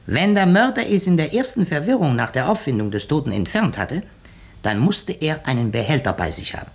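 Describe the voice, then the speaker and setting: A methodical detective in a 1950s radio drama. His husky voice moves in wide, animated swoops as he sets out his reasoning. He is deliberate and theatrical, leaving pointed pauses. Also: a little high, full character voice. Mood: measured, deducing. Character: shrewd, methodical male detective unmasking a murderer. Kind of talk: 1950s German radio play acting.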